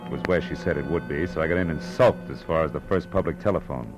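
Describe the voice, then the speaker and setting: A man's voice: rumbling low, fast talker, over music. A man acting a hard-boiled, wisecracking private detective in a 1940s radio drama, with an American accent and a tough, clipped, sardonic delivery. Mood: sardonic narrating.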